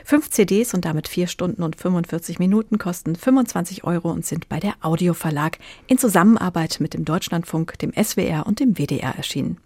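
A woman's voice: lowish voice, fairly quick, hardly any pauses, darkish tone, no echo. A woman working as a radio presenter, reading scripted introductions and putting questions into a close studio microphone.

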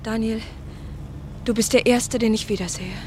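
An actress playing a nervous married woman reunited with an old friend, speaking in a hurried, anxious way. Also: on the high side, steady tone, a little mellow, slight background noise, lightly acted. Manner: hurried, anxious, breathy at times. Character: Eva, a nervous, married woman from his past. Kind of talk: radio play acting.

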